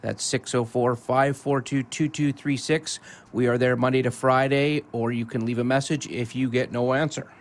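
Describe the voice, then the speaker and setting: A man's voice: medium pitch, steady tone, moderate pace. Matter-of-fact man on radio. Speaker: co-host giving out show contact details. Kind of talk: plain informational radio announcing.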